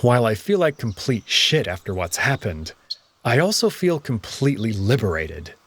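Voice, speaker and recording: A man's voice: medium pitch, sing-song, normal speed, a bit bright. A man hosting a visual novel playthrough, reading scripted narration in a dramatic storytelling style. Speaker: host and reader of a game playthrough. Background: background music.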